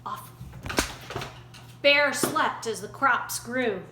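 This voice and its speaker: A woman storyteller reading a children's picture book aloud in an animated way. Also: on the high side, extremely slow. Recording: roomy echo, faint background noise.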